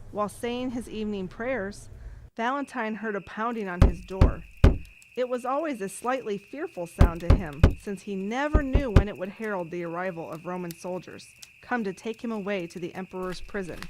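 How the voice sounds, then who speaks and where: A woman playing a kindly, patient nun who teaches through measured storytelling in an audio drama. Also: mid-range voice, animated, noisy background, natural voice. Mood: suspenseful. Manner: didactic, measured.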